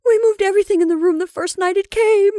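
A woman voicing a frantic, obstinately insistent woman who is shrill with horror in a dramatic story. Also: fast, mellow, dry recording, studio quality.

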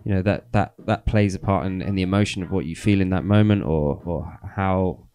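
Man, 30s, low smooth voice, warm and mellow, relaxed and chatty, handheld mic in small studio. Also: a little fast. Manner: relaxed, laughs often, rambling with restarts. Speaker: radio show co-host. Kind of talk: casual chat about dance music. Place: small music studio with speakers and decks.

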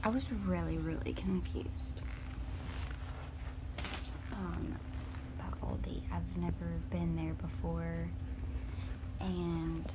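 A woman's voice: on the low side, fairly even intonation, slow, long pauses, background noise. A young woman, rambling through a casual vlog into her phone camera, full of fillers and restarts. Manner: rambling, filler-heavy storytelling. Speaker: vlogger. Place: parked car, then a home living room at night. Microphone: phone camera close up.

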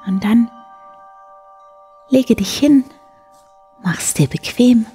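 A woman in her forties hosting a bedtime show, guiding the listener gently and soothingly with a soft, breathy voice. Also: fairly high pitch, expressive, extremely slow. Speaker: host of a bedtime story show. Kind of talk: guided sleep meditation, soft bedtime storytelling. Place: indoor room beside a wood stove. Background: soft music.

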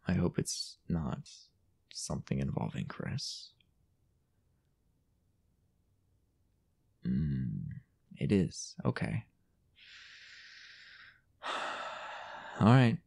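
A young man playing a possessive, jealous, dominant boyfriend in an intimate audio roleplay, halting and teasing, often dropping toward a whisper. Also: deep voice, full character voice. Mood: wary, impatient. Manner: halting, teasing, breathy half-whispered asides.

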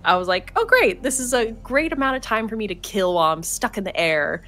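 A woman's voice: mid-range voice, big pitch swings, fairly quick, a bit bright. A young woman co-hosting a game stream, chatty and upbeat with a slight cold, laughing and saying "like" a lot. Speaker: streamer, co-host. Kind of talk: casual chat while gaming.

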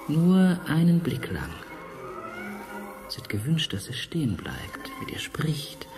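Solemn seductive man, swooping intonation over music. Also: mid-range voice. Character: mysterious seductive man coaxing a goddess, solemn, intimate. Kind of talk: German radio play acting, science fiction.

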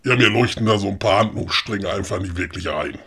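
An actor playing a grumpy, inquisitive old puppet maker in a comic audio play, colloquial and questioning, with a husky voice. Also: low voice, a little fast, pushed and bright, heavily acted. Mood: puzzled. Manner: colloquial, grumbling, questioning with tag "ne". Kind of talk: comedic audio play dialogue.

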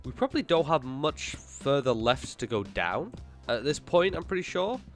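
A man's voice: fairly high pitch, big pitch swings, normal speed, faint music behind. A young man with a slightly nasal voice from a cold, casually commentating a gameplay video and chatting to himself. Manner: chatty, jumpy, talks to himself and the game. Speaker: gaming YouTuber.

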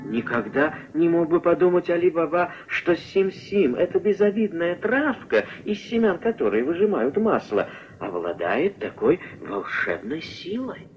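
A man narrating a puppet-film fairy tale as a wry, measured, expressive storyteller. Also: fairly high pitch, slight background noise. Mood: wry, storytelling. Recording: dull old film soundtrack, music behind.

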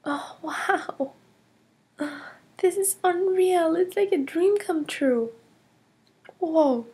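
A woman voicing a curious, cheerful girl in a toy play story, full of gasps and hushed whispers. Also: extremely high pitch, lively intonation, extremely slow, heavily acted. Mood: amazed. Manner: gasping, whispering wonder.

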